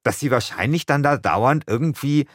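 A man's voice: mid-range voice, expressive, moderate pace, no room sound, studio quality. A man co-hosting a podcast, chatting casually and analytically in an enthusiastic, wordy way. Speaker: podcast co-host.

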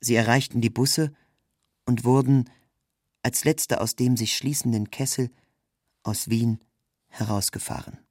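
A man's voice: mid-range voice, fairly even intonation, a little slow, pauses often, a little mellow, no room sound, clean studio sound. A man reads scripted literary narration in with a sober, documentary delivery. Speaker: narrator, reader of a literary text.

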